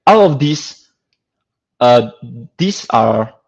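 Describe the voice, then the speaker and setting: A man with a Singaporean accent presents an informal technical lecture in a conversational way, often tagging his points with a checking "right?". His voice sits at an average pitch. The sound is slightly dull and lo-fi, like online call audio. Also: expressive, very slow. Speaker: guest speaker, lecturer.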